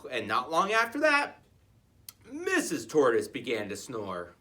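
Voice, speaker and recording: A reader narrating a children's story in an expressive, playful way, with chatty asides to the listeners. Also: mid-range voice, measured pace, slight background noise.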